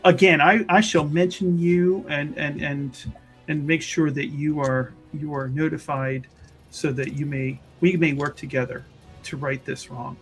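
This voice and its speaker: A man's voice: fairly high pitch, fairly even intonation, measured pace, faint music behind. A man voicing a polite, fussy, well-traveled Chantry woman investigator in a tabletop game, speaking formally and hesitantly with repeated words. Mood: earnest, obliging. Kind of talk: tabletop roleplay dialogue.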